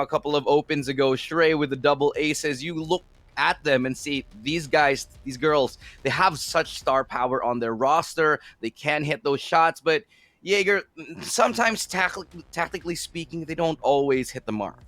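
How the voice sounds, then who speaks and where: A man's voice: fairly high pitch, normal speed, fairly bright tone, no echo. A man hosting an esports broadcast panel. He talks fluently and with energy, and he leads the discussion. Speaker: esports caster.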